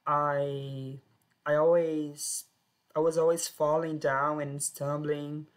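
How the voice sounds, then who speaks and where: A woman telling her own life story in plain, simple sentences with short pauses. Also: low voice, level tone. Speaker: personal storyteller. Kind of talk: first-person life story.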